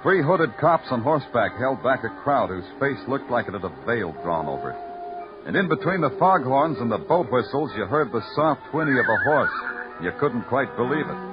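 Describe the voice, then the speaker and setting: Male actor as weary wry detective, deadpan, very mobile intonation, muffled vintage radio recording with prominent music. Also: mid-range voice, normal speed, close to an everyday voice. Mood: brooding narration. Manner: terse, deadpan, poetic first-person narration. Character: hard-boiled male night-shift Broadway police detective, world-weary, wry. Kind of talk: 1950s radio crime drama acting.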